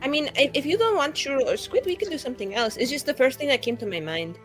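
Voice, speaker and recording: An easygoing adventurer, played by a woman in a tabletop game. The voice is slightly high, casual and hesitant, over faint music. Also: lively intonation, fast. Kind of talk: improvised tabletop roleplay.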